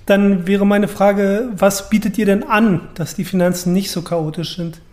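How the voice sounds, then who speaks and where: A man's voice: sits high, fairly even intonation, measured pace, a little mellow. A man co-hosting an interview show who asks easygoing, self-deprecating questions. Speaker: talk show co-host.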